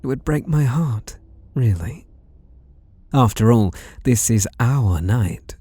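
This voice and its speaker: A British man playing an obsessive, seductively playful masked killer, taunting in whispery, drawn-out questions close to the mic in an ASMR roleplay. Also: medium pitch, expressive, warm tone, breathy edge, dry recording. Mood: smug, menacing. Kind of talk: ASMR roleplay, intimate monologue. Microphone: close microphone.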